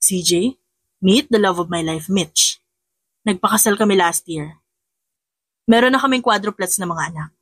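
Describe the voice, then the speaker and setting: A woman's voice: medium pitch, moderate pace, long pauses, a bit bright, husky, no room sound. A woman voicing an easygoing young married man, a friendly brother with a breezy, casual manner, in an audiobook.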